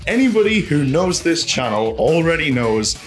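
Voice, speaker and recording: A man's voice: fairly high pitch, very animated, moderate pace, faint music behind. A man hosting a video essay, delivering a comedic, exasperated rant with swearing and theatrical emphasis. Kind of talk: scripted comedic commentary rant.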